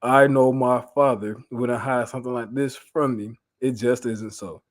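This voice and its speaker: Man, American accent, medium pitch, preacher, informal Bible lesson, slangy retelling, repetitive emphasis. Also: a little slow. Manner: informal, animated retelling, repeats phrases for emphasis. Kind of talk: Bible lesson mixing reading aloud with casual slangy paraphrase.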